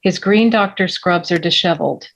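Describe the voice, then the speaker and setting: Low-voiced woman, slightly dull sound. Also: moderate pace, a little hiss or hum. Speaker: stage-direction reader. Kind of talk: scripted narration.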